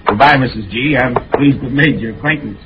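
A man's voice: mid-range voice, fast talker, lightly acted. Plain-spoken police underling male actor, curt vintage radio acting, muffled and buried in heavy noise. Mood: hurried. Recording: muffled, very noisy, distant radio recording.

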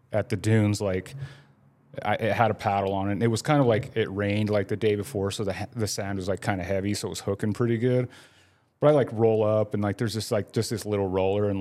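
A man in his forties with a fairly low voice tells stories as a podcast guest. He rambles loosely, leaning on "like" between nearly every phrase, in an easygoing, conversational way. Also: darkish tone. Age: 40s. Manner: rambling, heavy use of "like" fillers. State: laid up with a broken leg. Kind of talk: casual storytelling.

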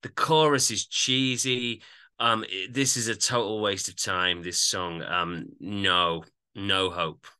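A man's voice: fairly low pitch, fairly even intonation, slow, pushed and bright, clear, resonant tone. A man chatting casually as a podcast host, giving opinionated, analytical reviews of music.

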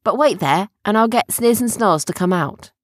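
A sensible young girl from a storybook, acted by a woman, friendly and matter-of-fact. Her speech is animated, with wide pitch movement. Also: normal speed, no echo, clean studio sound, close to an everyday voice. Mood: welcoming.

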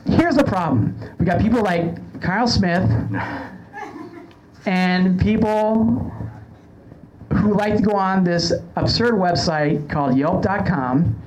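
A woman's voice: medium pitch, slow, a little mellow, low-quality recording. A woman hosting a podcast live, delivering a sarcastic, mock-grand comic rant to an audience. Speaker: podcast host. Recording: live room with crowd noise.

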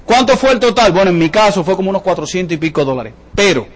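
A man's voice: high-pitched, very animated, quick pace. A man with a Cuban accent giving an emphatic, colloquial motivational sales talk to an audience, driving points home with repetition and rhetorical questions. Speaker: business seminar presenter, salesman.

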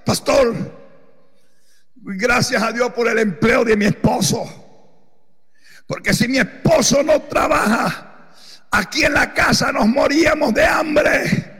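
A man preaching as a pastor, quoting a devout housewife from his congregation in his own shouted voice. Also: extremely high pitch, takes it slowly, pauses often, husky, voice pushed down, heavily acted. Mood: insistent. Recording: long reverb, echoey hall.

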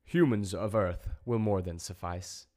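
A man voicing a disembodied alien hive intelligence, speaking in a slow, cold, formal and imperious way for a story. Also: low voice, warm tone.

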